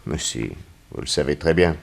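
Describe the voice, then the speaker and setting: An actor playing a stern, shrewd investigator who questions insistently and sardonically in a 1960s French radio crime drama. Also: male voice, very deep voice, normal speed, gravelly, full character voice. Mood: probing. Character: stern, shrewd male investigator grilling a young suspect.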